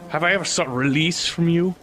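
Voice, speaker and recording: A man's voice: fairly high pitch, fairly quick, fairly bright tone, natural voice. A man playing a defensive young suitor in an audio drama, speaking earnestly. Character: defensive young man losing his fiancée. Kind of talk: theatrical audio drama acting.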